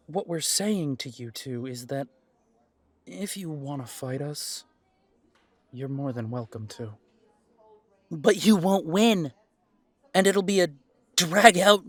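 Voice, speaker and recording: Casting: male actor for spirited young werewolf, lightly high voice, measured pace, cocky, audio drama. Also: fairly bright tone, no room sound, full character voice. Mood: defiant, cocky.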